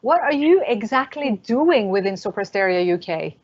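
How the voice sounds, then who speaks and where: A woman hosting an interview, encouraging and effusive toward her guests, with slightly halting questions. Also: medium pitch, very animated, husky, dry recording. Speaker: interviewer, host. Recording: dry, dull lo-fi audio.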